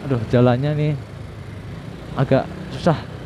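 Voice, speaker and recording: A man giving casual, unhurried riding commentary as a motovlogger, with little asides to himself and his viewers. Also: mid-range voice, steady tone, dark tone. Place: outdoors, riding a motorbike through city streets. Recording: dull lo-fi audio with clear traffic noise. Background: vehicle engines and street traffic noise.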